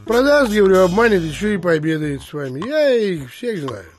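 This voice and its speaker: A man's voice: high voice, big pitch swings, fairly quick, music in the background. An actor playing a burly, misanthropic landowner in a literary audio drama, blunt and scornful in his judgements. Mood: gruff contempt.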